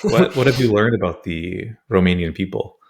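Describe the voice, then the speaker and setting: Deep-voiced laid-back man, laughing a lot. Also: moderate pace. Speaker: podcast co-host. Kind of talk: casual comedic chat.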